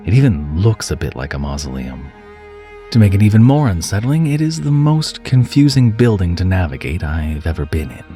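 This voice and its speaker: A man narrating a first-person horror story in a measured, dramatic and expressive way, dropping to a hush at times. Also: low voice. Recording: music under the voice. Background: background music.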